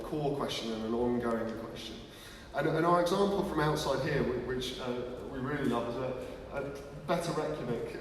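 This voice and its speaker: A man's voice: medium pitch, slight background noise. A man giving a live presentation at a launch event, talking energetically with many restarts. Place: large museum hall. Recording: echoey hall from far off.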